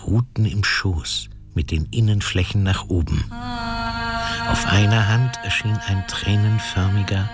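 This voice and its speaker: A man playing the calm narrator of a fantasy radio drama, reading scripted prose. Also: lowish voice, measured pace, lightly acted. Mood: storytelling. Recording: music behind the voice.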